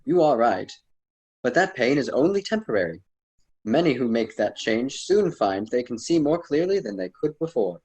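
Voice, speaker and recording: A man playing a wise, admonishing storyteller mentor in an amateur radio drama, formal and slightly husky. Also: medium pitch, very animated, moderate pace, a little mellow, poor audio. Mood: patient. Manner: formal, solemn, didactic.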